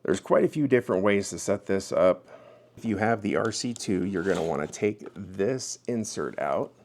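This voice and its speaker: Man, low pitch, gravelly fry, casual product reviewer, hands-on demonstration talk. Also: animated, moderate pace, darkish tone, a little hiss or hum. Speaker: product reviewer, channel host.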